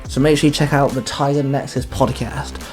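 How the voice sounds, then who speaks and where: A man's voice: medium pitch, steady tone, brisk. A man speaking casually as a podcast host, making a promotional announcement. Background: music.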